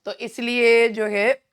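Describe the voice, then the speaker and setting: An Indian woman with a hoarse, sore throat teaching an online English exam-prep lesson in a chatty way, often clearing her throat. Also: fairly high pitch.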